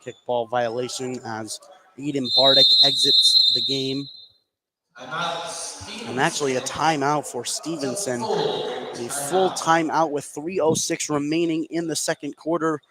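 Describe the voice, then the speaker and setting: A man's voice: mid-range voice, steady tone, unhurried. A man giving live radio play-by-play of a basketball game, following the action in clipped running phrases. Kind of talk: live radio sports commentary. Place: noisy indoor basketball gym. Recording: live broadcast with clear background noise.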